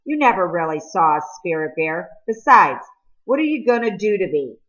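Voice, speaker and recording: A woman's voice: fairly low pitch, very animated, moderate pace, a bit bright, muffled recording. A woman voicing a sullen, distrustful teenage boy in a novel, blunt and grudging. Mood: defiant, blunt. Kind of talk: audiobook character dialogue.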